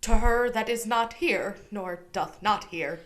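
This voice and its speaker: A woman playing a lovesick, yearning young gentleman in a Shakespearean radio play, earnest and heartfelt. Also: mid-range voice, unhurried, close to an everyday voice.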